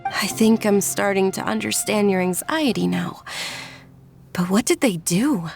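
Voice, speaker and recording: A woman voicing a gentle, caring young noble lady who speaks in a soft, earnest and polite way, in game voice acting. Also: medium pitch, animated, a little slow, creaky, faint music behind.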